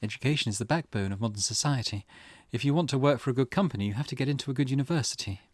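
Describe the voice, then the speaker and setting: A man's voice: medium pitch, racing, no room sound, natural voice. A man voicing a weary, grieving middle-aged mother from a visual novel, reading her old-fashioned, wistful thoughts aloud.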